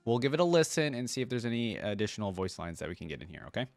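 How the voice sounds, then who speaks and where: A man's voice: fairly low pitch, big pitch swings, very fast, dry recording, faint music behind. A man hosting a casual reaction video, enthusiastically commenting and musing aloud on what he hears. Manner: enthusiastic, repeats lines back and muses on them.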